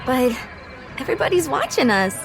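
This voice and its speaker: A woman's voice: high voice, very animated, moderate pace. An actress playing an anxious, easily swayed teenage girl in a radio drama party scene. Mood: reluctant. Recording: radio drama with loud music behind.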